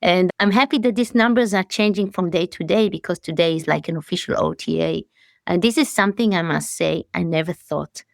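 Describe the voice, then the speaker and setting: Reflective woman in her late forties, low-pitched. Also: gravelly, dry recording, clean studio sound. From Israel. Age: 40s. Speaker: CEO guest. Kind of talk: interview conversation.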